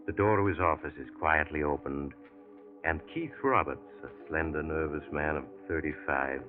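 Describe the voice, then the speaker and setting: An actor playing a sinister male storyteller who hosts and narrates a 1940s radio drama with a slow, ominous, teasing delivery. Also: a bass voice. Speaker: narrator and host. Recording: tinny old radio broadcast with loud music.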